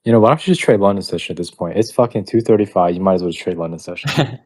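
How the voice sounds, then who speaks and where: A man's voice: sits low, lively intonation, very fast, darkish tone. A man joining a live stream, ribbing his friend with casual, profane banter.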